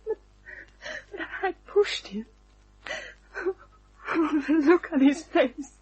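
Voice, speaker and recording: An actress playing a frightened, pleading young woman in an old-time radio mystery, breathy and husky, speaking haltingly. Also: high-pitched, expressive, a little mellow, lo-fi sound, heavily acted. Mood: distraught, tearful.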